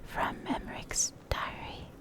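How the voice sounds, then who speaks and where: A woman reading in a soft, breathy whisper for ASMR, in short deliberate phrases with pauses, close to the microphone. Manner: slow, deliberate, pausing between short phrases. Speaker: ASMR video creator reading game lore. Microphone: Blue Yeti desktop microphone, close up.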